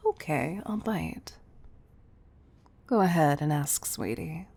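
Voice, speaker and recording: Woman acting low, breathy, commanding female boss. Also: full character voice. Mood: teasing, indulgent. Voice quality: breathy, soft. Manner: intimate, deliberate, often dropping into breathy near-whisper. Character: commanding, tough-loving female CEO, fond underneath. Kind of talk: scripted roleplay audio addressed to the listener.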